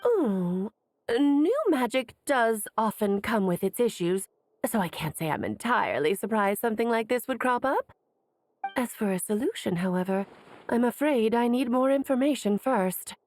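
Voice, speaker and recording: A playful woman mage from a video game, self-assured and genteel. Her fairly high voice moves freely up and down, coaxing and commanding at once, with faint noise behind it. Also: sing-song, normal speed, darkish tone. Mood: musing. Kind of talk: animated game dialogue.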